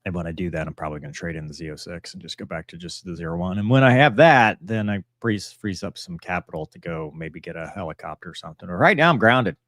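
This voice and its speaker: Very deep-voiced man chatting casually. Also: fast talker, few pauses, fairly bright tone, dry recording, studio quality. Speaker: live stream host answering viewer messages; pilot and author. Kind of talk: casual chat, live Q&A.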